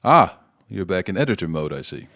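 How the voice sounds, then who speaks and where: A man acting in an audio drama as a middle-aged politician father, educated, defensive but caring, speaking in a conversational, gently questioning way. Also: deep voice, sing-song, a little fast, exaggerated voice. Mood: gently teasing.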